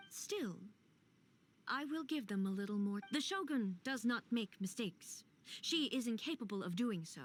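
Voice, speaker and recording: A regal game deity, speaks in a fairly high feminine voice. The delivery is formal and measured, stern and contemplative, as befits a ruler. There is noticeable background ambience behind the speech. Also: female voice, a little hiss or hum. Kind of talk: video game character dialogue.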